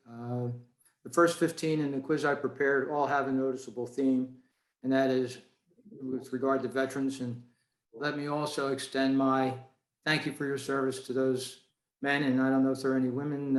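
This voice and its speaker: A man presenting a prepared talk to a club over a video call, in a roomy-sounding space. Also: medium pitch.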